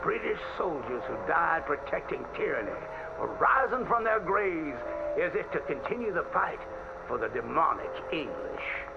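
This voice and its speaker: Folksy, creaky-voiced ghost-story teller, male. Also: high-pitched, dull audio, background noise, exaggerated voice. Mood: dramatic, foreboding. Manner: folksy, dramatic, old-fashioned diction. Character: folksy male storyteller spinning a spooky cautionary ghost tale. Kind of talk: theatrical radio-style storytelling. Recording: band-limited old radio sound, loud music behind.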